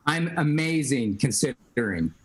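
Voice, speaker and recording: A male guitarist, a guest on a podcast, answering in a relaxed, matter-of-fact way. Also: medium pitch, measured pace. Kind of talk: casual interview chat.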